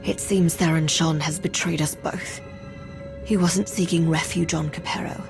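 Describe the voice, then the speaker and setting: A proud, betrayed noblewoman from a space game. Her voice is fairly low and her delivery grave and formal, with loud music underneath. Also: fairly even intonation, normal speed, vocal fry. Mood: bitter. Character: proud, betrayed female alien noble leader. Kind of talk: cinematic video game dialogue.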